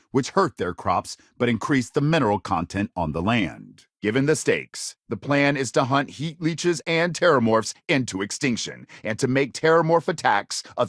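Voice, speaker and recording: A man's voice: medium pitch, dry recording, clean studio sound. A man playing a polished, dramatic news broadcaster in a sci-fi game, reading scripted reports in a punchy, theatrical newsreader delivery.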